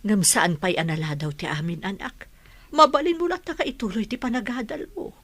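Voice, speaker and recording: A woman's voice: mid-range voice, normal speed, fairly bright tone, close to an everyday voice. A woman playing a concerned middle-aged mother in a radio drama, coaxing and earnest. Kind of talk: Ilocano radio drama acting.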